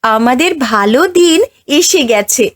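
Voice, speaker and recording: A woman as high-pitched, kindly young wife. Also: lively intonation, measured pace. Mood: happy. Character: kind, cheerful, supportive young farmer's wife.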